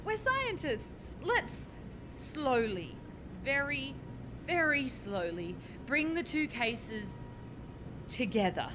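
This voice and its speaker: A woman's voice: very animated, takes it slowly, exaggerated voice. A woman playing an anxious, bossy scientist in a radio farce, exclaiming and cautioning in exasperation. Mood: cautious, brisk. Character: anxious, bossy female scientist juggling time-travel experiments. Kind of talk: radio play farce acting. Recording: clear background noise with low rumble.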